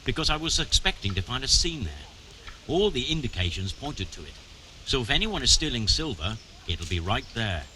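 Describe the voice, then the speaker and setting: An actor playing a stern, middle-aged medieval mining chief in a video game, brusque and commanding, with formal wording. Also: male voice, mid-range voice, sing-song, normal speed, bright, forceful tone, a little hiss or hum, rough recording. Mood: firm, warning. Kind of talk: scripted video game dialogue. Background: music.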